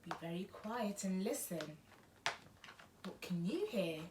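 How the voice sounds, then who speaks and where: A woman reading a picture book aloud to small children in an expressive, sing-song, drawn-out way. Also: mid-range voice, background noise. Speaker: children's read-aloud host.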